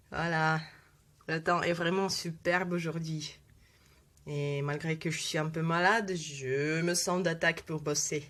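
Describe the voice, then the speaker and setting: A woman's voice: low-pitched, steady tone, takes it slowly, long pauses, a bit bright. A woman in her twenties, a native Russian speaker, talks hesitantly with many fillers while she has a head cold. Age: 20s. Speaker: vlogger. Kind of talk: casual video talk.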